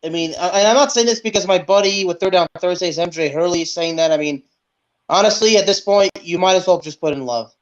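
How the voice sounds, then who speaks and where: A male sports podcast co-host with a fairly high-pitched voice talks football in a casual, conversational way. The sound is slightly muffled and lo-fi. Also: fast. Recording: dull, lo-fi audio.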